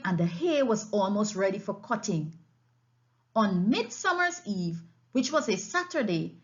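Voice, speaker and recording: A woman narrating a storybook aloud as an audiobook reader, with an expressive read-aloud delivery. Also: medium pitch, lo-fi sound.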